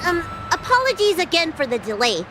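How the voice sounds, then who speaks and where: A woman's voice: extremely high pitch, huge pitch swings, brisk, music in the background, heavily acted. A woman voicing an earnest, perky young girl healer in a video game, polite and matter-of-fact. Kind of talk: animated video game voice acting.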